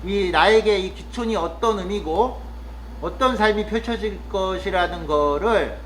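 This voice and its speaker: A man from Korea's Chungcheong region talking casually and reflectively to the camera, pausing often with fillers as he muses. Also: high-pitched, a little hiss or hum, rough recording. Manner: thoughtful, musing, with frequent pauses and fillers. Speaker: vlogger living in the countryside.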